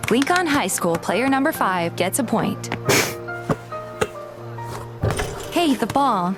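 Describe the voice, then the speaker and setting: A woman's voice: on the high side, big pitch swings, a little slow. A woman playing a pouty, loyal young heroine who complains playfully in a fan dub of a romance game. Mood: casual, playful. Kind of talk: fan dub dialogue with first-person narration. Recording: clear background music.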